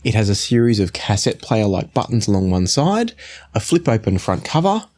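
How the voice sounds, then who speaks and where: A man in his forties giving a measured, conversational product review to camera, explaining things as he goes. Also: fairly low pitch, big pitch swings, darkish tone. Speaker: audio gear reviewer. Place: small indoor home studio room.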